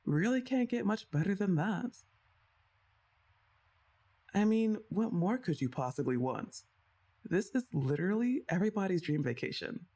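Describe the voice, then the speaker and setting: A young woman plays a best friend's older sister in an ASMR roleplay, chatty and teasing, laughing often. Her pitch moves a lot and sounds lively. She talks straight to the listener. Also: moderate pace, pauses often, slightly rough. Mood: playful. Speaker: voice actress.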